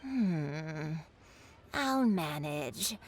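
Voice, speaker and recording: A woman playing a kindly old granny who sells kites in a video game, speaking in a gentle, grandmotherly way. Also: deep voice, expressive, very slow, slight background noise, full character voice. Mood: hesitant, apologetic. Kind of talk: video game character acting.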